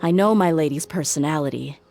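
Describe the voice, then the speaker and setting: Faint game music sits behind a low female voice. a loyal mercenary bodyguard from a video game, frank and thoughtful. She speaks in measured, self-assured phrases. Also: gravelly, a little mellow. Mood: puzzled, serious. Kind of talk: scripted video game dialogue acting.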